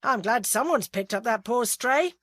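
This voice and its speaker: A woman's voice: a little high, animated, normal speed, fairly bright tone, no room sound, studio quality, voice pushed up. A woman voicing a chatty, sympathetic man out walking his dog.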